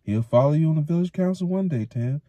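A man's voice: on the high side, lively intonation, quick pace, warm tone, no echo, muffled recording, voice pushed up, close to an everyday voice. A man doing a hearty, stout village mayor. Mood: earnest. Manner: hearty, knowing. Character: stout jovial middle-aged male mayor and innkeeper.

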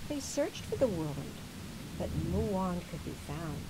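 A woman reading a children's picture book aloud with expressive, theatrical, deliberate phrasing. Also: lowish voice, background noise. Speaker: storybook reader.

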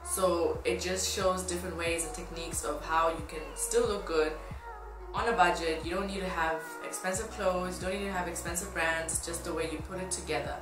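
A woman's voice: on the low side, fairly even intonation, a little fast. A woman in her twenties from Tanzania chatting casually to camera in an upbeat, informal way. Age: 20s. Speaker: fashion blogger. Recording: roomy echo, music behind. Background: music.

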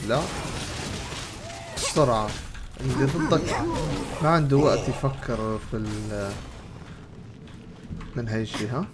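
A man's voice: mid-range voice, a little slow, pauses often. A young adult man with a Levantine Arabic accent, casually commenting as he plays a game and relaying its dialogue. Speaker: gaming streamer. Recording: game audio and noise behind voice. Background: video game sounds.

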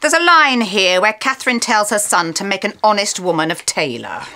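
A woman's voice: mid-range voice, expressive, normal speed, bright, forceful tone. A woman playing a fiery middle-aged soap actress, cutting, sarcastic and sweary. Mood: exasperated. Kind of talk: naturalistic screen comedy-drama acting.

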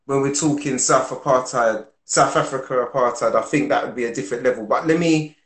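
A man in his forties hosting a podcast, asking measured, thoughtful questions over a video call. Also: mid-range voice, a bit bright. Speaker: podcast host. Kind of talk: casual interview. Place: home room on a video call. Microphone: video call with earphone mic. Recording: roomy video call audio.

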